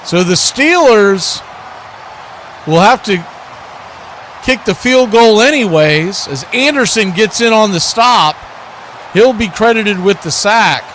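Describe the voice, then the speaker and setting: A male sportscaster with a notably high voice delivers live football commentary. He calls the action play by play, with faint noise in the background of the recording. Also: animated, slow pace, long pauses, fairly bright tone.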